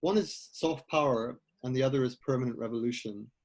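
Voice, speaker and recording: A man's voice: medium pitch, brisk, darkish tone. A man hosting a conversational interview, asking questions in a tentative, exploratory way. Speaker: host.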